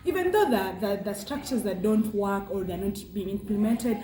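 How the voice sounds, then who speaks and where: A young Ugandan woman with a Ugandan English accent, a guest chatting casually and thoughtfully, pausing with fillers. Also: mid-range voice, sing-song, warm tone, reverberant. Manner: thoughtful, hesitant with many fillers, laughs often. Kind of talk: casual interview chat.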